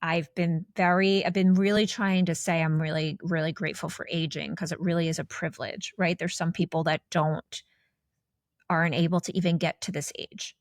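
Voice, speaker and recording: A woman's voice: fairly low pitch, fairly even intonation, moderate pace, no echo, studio quality. A woman in her forties co-hosting a podcast, talking candidly in a rambling, casual way with many restarts. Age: 40s. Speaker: podcast co-host.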